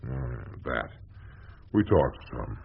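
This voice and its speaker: An actor playing a world-weary, hard-drinking newspaper reporter in a radio drama, with a sardonic, theatrical, rambling manner. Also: male voice, very deep voice, very animated, slow pace, vocal fry, husky, phone-quality sound, full character voice. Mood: wry, tipsy.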